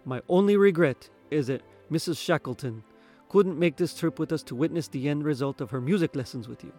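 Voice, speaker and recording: A man's voice: fairly high pitch, brisk, a little mellow, soft background music, natural voice. A man voicing a weary, proud middle-aged Cuban school principal in a story, formal and matter-of-fact. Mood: weary pride.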